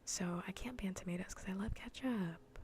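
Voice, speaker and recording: A woman streaming in a soft, breathy, often whispered ASMR voice, chatting informally and playfully close to the mic. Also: mid-range voice, normal speed, slight background noise. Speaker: streamer hosting a live cozy gaming broadcast. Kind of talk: soft-spoken ASMR casual chat. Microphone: close ASMR microphone.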